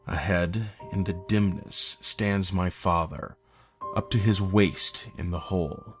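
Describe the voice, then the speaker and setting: Low-voiced man narrating horror over music. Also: steady tone, takes it slowly. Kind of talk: scripted first-person horror narration. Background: eerie background music.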